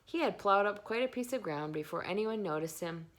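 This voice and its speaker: A woman reading a children's book aloud in expressive scripted narration. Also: medium pitch, brisk. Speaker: story reader.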